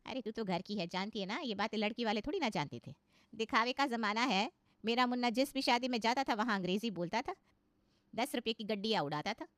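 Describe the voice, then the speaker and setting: A boastful middle-aged aunt full of advice, acted by a woman in a cartoon moral story. She chats knowingly in a high voice with a very dry recording. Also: brisk, a bit bright, dry recording, close to an everyday voice. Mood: boastful, advising.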